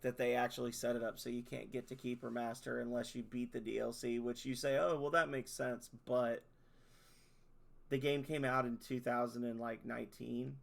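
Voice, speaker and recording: A man, a streamer closing his stream in rambling, self-deprecating casual chat, his voice hoarse as he loses it. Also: mid-range voice.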